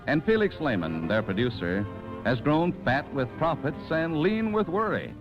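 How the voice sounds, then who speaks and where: Animated male 1940s radio narrator. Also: on the high side, muffled recording. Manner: dramatic, deliberate storytelling. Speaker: announcer and narrator. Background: music.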